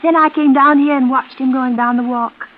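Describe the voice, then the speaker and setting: On a narrow, hissy old radio recording, an actress plays a woman near forty who sounds like a timid schoolgirl. Her voice is very high, wistful and apologetic, and rambles on in 1940s suspense style. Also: steady tone, normal speed, lightly acted. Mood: anxious, confiding. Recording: muffled, noisy vintage radio recording.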